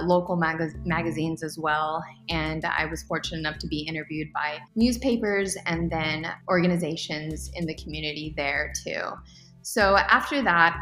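A young woman talks through her answers as a podcast guest, upbeat and a little rambling, with plenty of fillers. Her voice sits a touch low but has a bright, forceful edge. The room around her rings with echo, and faint music plays underneath. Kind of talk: casual interview answers.

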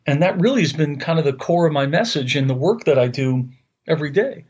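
A man's voice: fairly high pitch, animated, a little fast, a little mellow, breathy edge, highs cut off. A man in his fifties from Long Island with a New York accent, talking earnestly as an interview guest and often repeating words while he searches for phrasing. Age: 50s. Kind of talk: conversational interview.

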